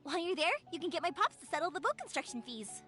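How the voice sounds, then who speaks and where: An actress playing a cheerful, caring young woman in a video game, chatty, expressive and easygoing. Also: extremely high pitch, quick pace, faint music behind, heavily acted. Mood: breezy, confident. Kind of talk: video game character acting.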